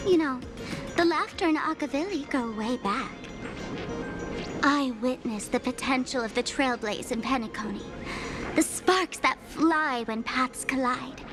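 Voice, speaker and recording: A playful young thrill-seeker from a video game, with a high voice and a dramatic, teasing delivery. She sounds mischievous and scornful of anything dull, and music plays behind her. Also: unhurried. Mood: intrigued. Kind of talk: animated video game character dialogue.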